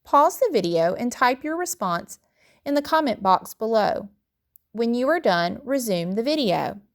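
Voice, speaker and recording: Higher-voiced woman reading aloud like a teacher. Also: sing-song, unhurried. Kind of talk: read-aloud lesson narration.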